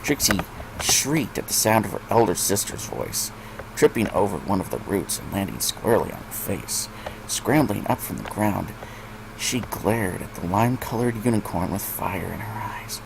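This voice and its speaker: A man's voice: on the low side, lively intonation, moderate pace, gravelly, background noise. A man narrating fan fiction expressively, as a story reader.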